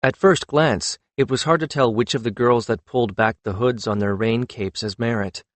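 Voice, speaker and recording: A man's voice: mid-range voice, moderate pace, dry recording. A man reading a fantasy story as an audiobook narrator, in scripted narration.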